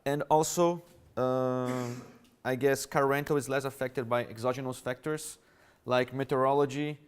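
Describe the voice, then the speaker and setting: A man's voice: medium pitch, fairly even intonation, normal speed, smooth. A man from Brazil, a native Portuguese speaker with a Brazilian Portuguese accent, giving a conversational, explanatory conference presentation to an audience. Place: conference hall.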